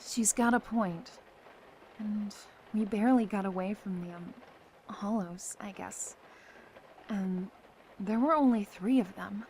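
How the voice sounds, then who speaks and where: A woman's voice: medium pitch, a little slow, long pauses, darkish tone, slight background noise, natural voice, rough recording. A woman playing a level-headed survivor in an apocalypse audio drama, firm and reasonable as she keeps the peace. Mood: reasoning. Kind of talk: fan audio drama acting.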